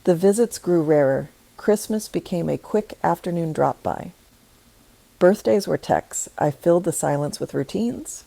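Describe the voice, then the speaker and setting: A woman reading a scripted first-person story in a measured, reflective way. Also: lowish voice, gravelly, warm tone, no echo, low-quality recording.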